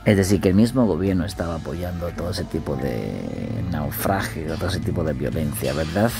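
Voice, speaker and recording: Gravelly low man commenting casually. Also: fairly quick, loud music behind the voice. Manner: chatty, addresses viewers. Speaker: reaction streamer.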